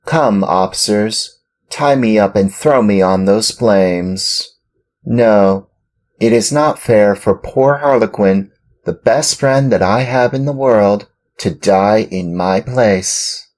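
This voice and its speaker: A man's voice: fairly low pitch, slow, a little mellow, pitched above the performer's own voice. A man reading a storybook and voicing an earnest wooden marionette boy with a raised, pleading voice.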